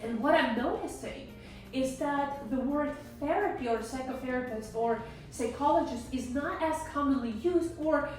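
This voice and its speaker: A woman, raspy from singing, chatting casually and emphatically to camera as a psychologist vlogger. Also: sits high, normal speed, a little mellow, distant mic, faint music behind. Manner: chatty, emphatic, many asides. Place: indoors at home, sunny room. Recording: very echoey room, mic set back.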